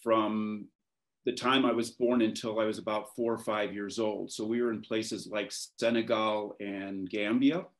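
A man's voice: on the low side, little pitch movement, measured pace. A man in his fifties with an American accent, chatting casually as an interview guest on a video call. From born in France to American parents, raised between Europe, Washington and West Africa. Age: 50s. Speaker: interview guest, maritime professional. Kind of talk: casual reminiscing in a video conversation. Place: wood-beamed room at home, indoors.

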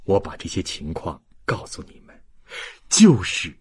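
A very raspy, dying old man. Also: deep voice, huge pitch swings, pitched below the performer's own voice, exaggerated voice. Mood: earnest, labored. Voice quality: husky, breathy, weak. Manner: slow, halting. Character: dying old man, tender, weary and resigned. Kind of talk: dramatized audiobook reading.

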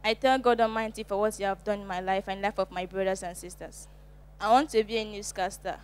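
A woman's voice: mid-range voice, fairly even intonation, brisk, smooth. A nineteen-year-old woman with a Nigerian accent gives a recited testimony as a student. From Edo State, Nigeria.

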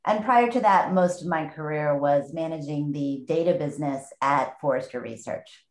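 A woman in her forties, a sales executive speaking in a measured way on a webinar panel over a video call. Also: low voice. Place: home room, indoors. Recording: echoey room on a video call.

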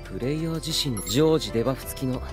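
An actor playing a calm, analytical teenage swordsman in an anime game, speaking seriously and explaining things in a composed way. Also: mid-range voice, fast talker, over music, close to an everyday voice. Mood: composed, resolute. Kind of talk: Japanese anime game voice acting.

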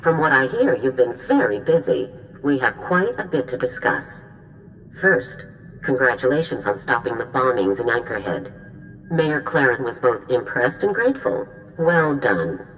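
Very deep-voiced stern female general. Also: sing-song, a little hiss or hum, heavily acted, rough recording. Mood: measured approval. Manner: measured, formal, authoritative. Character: stern, composed female Republic army general. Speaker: military general. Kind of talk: video game voice acting.

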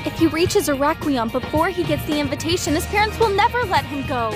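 A woman playing a sweet, dreamy, friendly teenage fairy in a cartoon fandub, gentle and earnest. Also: sits high, lively intonation, fairly quick, over music.

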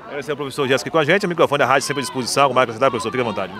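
A man's voice: mid-range voice, racing, crowd noise. A man, a radio reporter rambling through questions with many tag questions, on a handheld mic outdoors. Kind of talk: outdoor interview. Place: stadium stands outdoors.

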